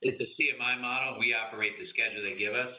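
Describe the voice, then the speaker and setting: A man answering questions as an executive on an earnings call, explaining in a measured, unscripted way with repeated words. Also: mid-range voice, steady tone. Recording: dull, band-limited conference call audio.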